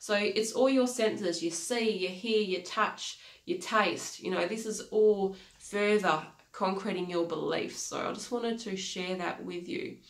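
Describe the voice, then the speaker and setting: A woman's voice: medium pitch, a little slow. A woman in her thirties with a congested, nasal voice, giving an earnest motivational talk as a life coach. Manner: earnest, repeats key phrases, gestures with hands. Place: small home office, indoors. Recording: echoey room.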